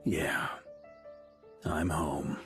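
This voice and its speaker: An actor playing an easygoing but commanding middle-aged knight grandmaster in a video game, speaking in a measured, dramatic way. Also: male voice, extremely low pitch, fairly even intonation, a bit bright, faint music behind, heavily acted. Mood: warm, weary relief.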